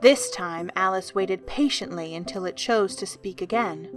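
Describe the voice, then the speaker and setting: A woman's voice: mid-range voice, moderate pace. Woman narrating a story over music.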